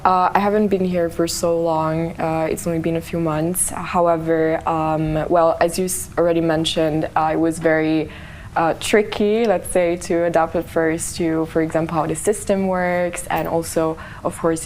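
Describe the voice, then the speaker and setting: A woman's voice: deep voice, level tone, normal speed, darkish tone, a little hiss or hum. A woman, a student speaking on a panel with many fillers. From Italy. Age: 20s. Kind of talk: casual panel talk.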